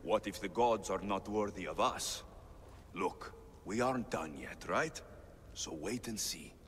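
A man's voice: fairly low pitch, unhurried, fairly bright tone, a little hiss or hum. A man playing a practical ancient Greek mercenary hero in a video game cutscene, with a firm, direct, reassuring manner. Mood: encouraging. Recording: long reverb, music behind.

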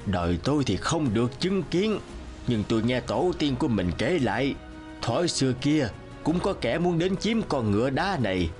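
A man playing an outspoken, scolding old village elder in an animated folk tale, admonishing as he tells what he knows. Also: mid-range voice, normal speed, soft background music, natural voice. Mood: recounting.

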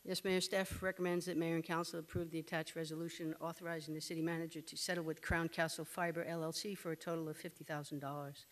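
A man delivers staff recommendations at a council meeting from a desk mic. His voice sits somewhat high for a man. He reads in a measured, formal way. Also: little pitch movement, a bit bright, rough recording. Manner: measured, formal report reading. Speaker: city staff member presenting reports. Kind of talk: reading staff reports. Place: council chamber. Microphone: desk microphone.

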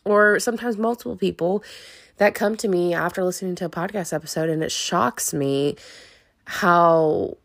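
A young woman with a slightly low voice talks alone into a close mic for her podcast, sniffly from a cold. She rambles openly and confessionally, full of likes and ums. The sound is dry, with no room around her. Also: normal speed, vocal fry, no echo. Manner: rambling, open and confessional, many fillers. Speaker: podcast host. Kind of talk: casual solo podcast monologue.

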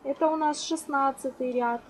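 A woman's voice: sits high, steady tone, moderate pace. A woman giving a step-by-step craft tutorial in a reassuring, instructional way, often counting aloud. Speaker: crochet tutorial host. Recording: noisy home recording.

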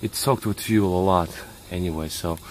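Guy muttering thru a car repair outside, lowish voice, engine noise behind. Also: moderate pace. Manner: talks to himself while working, short muttered remarks. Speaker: home mechanic. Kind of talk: hands-on repair walkthrough. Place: outdoors at a car's engine bay.

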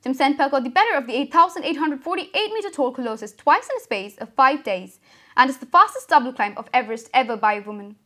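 A young woman reading a school news bulletin as the anchor, with a brisk, even headline delivery. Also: high voice, a little hiss or hum. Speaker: student news reader.

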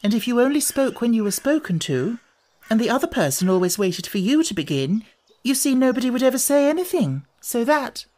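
A polite young storybook girl, voiced by a man reading aloud. The pitch is raised and the delivery prim and earnest. The child sounds mannerly yet keen to make a point. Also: big pitch swings, moderate pace, a little mellow. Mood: timid, pleading. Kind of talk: audiobook character voice.

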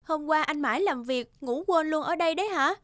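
A woman's voice: high voice, a little fast, a bit bright, a little hiss or hum. A woman playing a vain, materialistic young bride-to-be in a cartoon, with music underneath. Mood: nagging. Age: young adult. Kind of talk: cartoon dubbing.

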